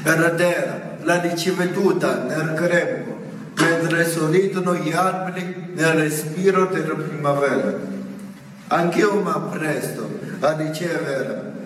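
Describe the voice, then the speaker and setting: Slow man reciting in an echoing hall. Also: fairly high pitch, a bit bright. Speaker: poetry reader. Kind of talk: poetry recitation. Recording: echoey hall from far off, faint noise.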